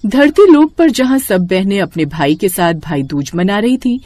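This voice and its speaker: A woman's voice: mid-range voice, animated, moderate pace, a little mellow, dry recording. A woman serves as storyteller for a children's mythological tale. She reads scripted narration in a mid-range voice, as for a devotional bedtime story.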